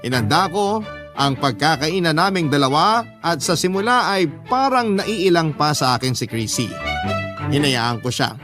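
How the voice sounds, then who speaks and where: A Filipino male radio host narrates a scripted drama. His voice is on the higher side, and violin music plays clearly behind him.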